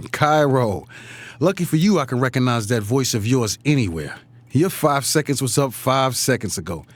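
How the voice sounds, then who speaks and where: A man's voice: mid-range voice, expressive, moderate pace, close to an everyday voice. A man playing an authoritative, defensive city mayor in an audio drama, commanding and wry. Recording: music playing behind the voice.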